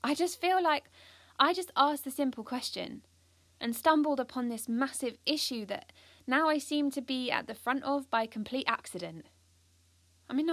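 A woman's voice: high-pitched, fairly bright tone, dry recording. A British woman playing an earnest, driven teenage schoolgirl activist, confiding in the listener in an audio drama monologue. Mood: earnest, determined.

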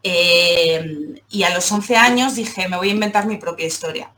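A woman's voice: lowish voice, moderate pace, sharp tone, a little hiss or hum. A woman from Seville in Spain, chatting casually as a podcast guest and telling personal stories in a giggly manner. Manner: giggly, laughs often while talking. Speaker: podcast guest, comic artist. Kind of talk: casual chat, personal storytelling.

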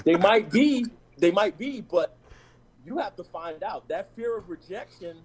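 A man's voice: high-pitched, expressive, a little slow, crowd noise. A man on a discussion panel talking casually and telling personal stories, with lots of restarts and "you know what I'm saying.".

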